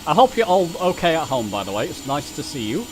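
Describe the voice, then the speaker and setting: A man's voice: a little high, sing-song, fast talker, rough recording. A man hosting a casual flight simulator livestream over voice chat, friendly, often repeating words as he goes. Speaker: livestream host.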